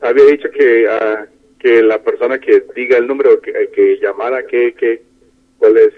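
A man's voice: mid-range voice, steady tone, moderate pace. A man speaks casually as a caller on a radio show. His mid-range voice comes through a thin, band-limited phone line. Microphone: telephone.

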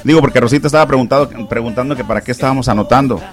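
A man's voice: mid-range voice, rapid-fire. A man hosting a call-in radio show, chatting and teasing callers in a joking way. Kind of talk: casual call-in radio banter. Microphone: studio microphone.

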